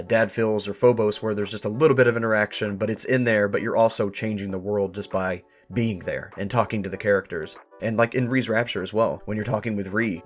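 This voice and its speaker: A man in a casual podcast discussion, explaining with quick enthusiasm. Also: lowish voice.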